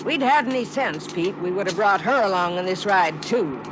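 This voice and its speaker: A woman's voice: mid-range voice, expressive, moderate pace, lightly acted. An actress playing a hard, threatening female criminal accomplice, curt and bossy, in a 1950s radio crime drama. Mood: sardonic. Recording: noisy vintage radio recording.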